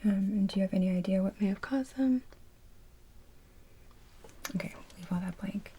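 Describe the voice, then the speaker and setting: A woman whispering gently in an ASMR medical roleplay as a receptionist, asking orderly questions in short phrases. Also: medium pitch, moderate pace.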